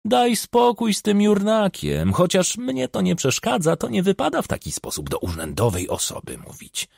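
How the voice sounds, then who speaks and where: Casting: male reader as bragging middle-aged rural official, pompous, raised gravelly voice, audiobook comedy of self-importance. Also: high-pitched, wildly swooping pitch, normal speed, no echo, studio quality, voice pushed up, heavily acted. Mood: dismissive.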